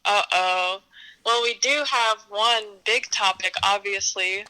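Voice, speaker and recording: Slow, bright young woman chatting. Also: medium pitch, smooth, low-quality recording. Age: around twenty. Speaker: interview guest, musician. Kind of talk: casual chat.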